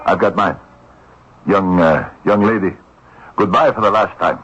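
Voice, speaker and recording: An actor playing a wily Russian vagrant in a radio drama, hesitant, placating and mock-courteous. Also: male voice, deep voice, unhurried, a bit bright. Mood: falsely polite, uneasy. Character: wily Russian vagrant, falsely polite, bluffing under suspicion. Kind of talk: old-time radio drama acting. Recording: muffled, very noisy old radio recording.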